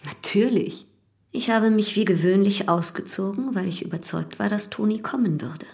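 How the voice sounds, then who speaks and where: An actress playing a calm, unashamed young widow in love, in a German radio drama. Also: female voice, mid-range voice, normal speed, gravelly, lightly acted. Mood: composed, matter-of-fact. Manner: composed, unashamed.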